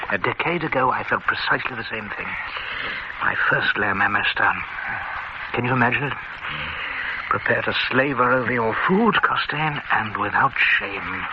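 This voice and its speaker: An actor playing a worldly, genial gourmet in a vintage radio drama, talking in an assured, teasing way. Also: male voice, mid-range voice, animated, moderate pace, pauses often, close to an everyday voice. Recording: muffled old radio recording with hiss.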